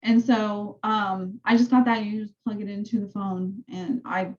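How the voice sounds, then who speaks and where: A woman with a soft voice, casually walking her real estate team through training tools on a call. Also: medium pitch, fairly even intonation, measured pace, muffled recording. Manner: chatty, rambling explanations. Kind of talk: casual team meeting walkthrough.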